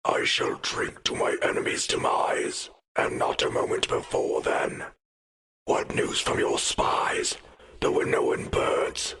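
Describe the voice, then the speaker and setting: A man playing a menacing, imperious warlord king in an audio drama, with a harsh whispery growl and grandiose delivery. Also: fairly bright tone. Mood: brooding command. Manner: grandiose, imperious, slow pronouncements. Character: menacing undead warlord king, commanding and vengeful. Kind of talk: audio drama villain acting.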